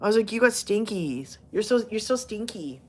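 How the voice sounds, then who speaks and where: A woman's voice: medium pitch, expressive, moderate pace, darkish tone, slight background noise. A woman hosting a casual live stream, chatting with viewers and cooing at her cats, laughing often and rambling with fillers as her voice starts to go. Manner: giggly, playful baby-talk to her cats. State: losing her voice. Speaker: livestream host talking to viewers and her cats.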